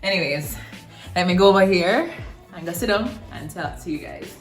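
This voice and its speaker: A woman's voice: fairly low pitch, animated, moderate pace, over music. A young woman from Jamaica with a Jamaican accent, chatting casually to camera as a vlogger and repeating words for emphasis. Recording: roomy echo.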